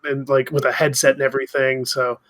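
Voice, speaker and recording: A man's voice: mid-range voice, little pitch movement, brisk, a bit bright. A man co-hosting a podcast, chatting informally among friends with frequent "like" in his speech. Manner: informal, says "like" often. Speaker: podcast co-host. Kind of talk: casual chat among friends.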